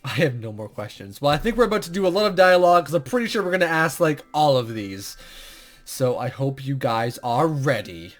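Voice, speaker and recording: A man's voice: medium pitch, sing-song, a little fast. A man doing let's play commentary, reading visual novel text aloud and stumbling over unfamiliar words. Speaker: gaming video host.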